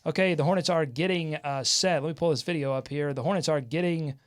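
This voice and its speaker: A man hosting a live sports talk show in a casual way, often halting as he searches for words. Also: fairly high pitch. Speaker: sports podcast host.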